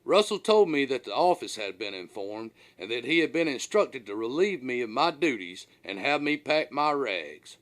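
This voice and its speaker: A man narrates a first-person tale as the author of an audiobook. His mid-range voice moves up and down a great deal, giving the scripted reading a dramatic, animated storyteller feel. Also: mid-range voice, normal speed, hardly any pauses.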